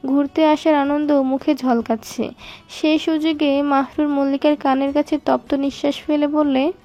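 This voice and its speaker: High, even-toned woman narrating a story. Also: normal speed, dull audio.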